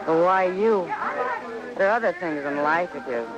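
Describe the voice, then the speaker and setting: A woman's voice: fairly low pitch, expressive, moderate pace, lightly acted. An actress playing a worried, caring, world-wise American frontier woman in an old-time radio western, pressing and familiar. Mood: worried, resigned. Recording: muffled old radio, loud music, saloon crowd.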